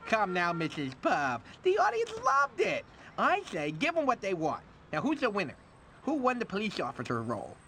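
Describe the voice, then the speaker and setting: An actor voicing a pushy, fast-talking cartoon TV producer who gushes and schmoozes in a video game. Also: male voice, high-pitched, wildly swooping pitch, pushed and bright, slight background noise, full character voice. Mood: showy, hyped. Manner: gushing, schmoozing, grovels to superiors. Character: excitable fast-talking showbiz TV producer, male, slick and pushy.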